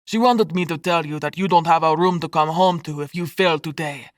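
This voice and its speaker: A woman's voice: low-pitched, a little fast, dry recording, clean studio sound, lightly acted. A woman voicing a stern, scornful middle-aged man with a Spanish accent in an audiobook, hollow and precisely enunciated. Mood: contemptuous.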